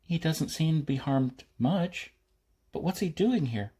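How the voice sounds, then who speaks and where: A man's voice: on the high side, expressive, a little slow, dark tone, husky, voice pushed up. A man voicing a cheerful young woman of about twenty-one, a sweet, eager spaceship pilot in an audiobook. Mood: concerned, sweet.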